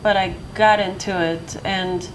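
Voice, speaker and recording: A woman's voice: mid-range voice, fairly even intonation, a little slow. Hesitant woman panelist, noisy room. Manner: hesitant, full of restarts. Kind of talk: panel discussion. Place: lecture hall.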